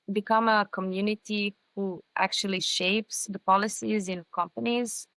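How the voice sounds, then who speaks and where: A woman's voice: mid-range voice, fairly bright tone, clean tone, dry recording, rough recording. A young woman with Hungarian and Serbian as her first languages, chatting thoughtfully as a podcast guest and often restarting words. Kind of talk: casual interview chat.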